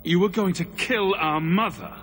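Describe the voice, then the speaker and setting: An actor playing a questioning, principled adult mage hero in a fantasy video game. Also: male voice, sits high, normal speed, a bit bright, long reverb tail, a little hiss or hum. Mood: indignant.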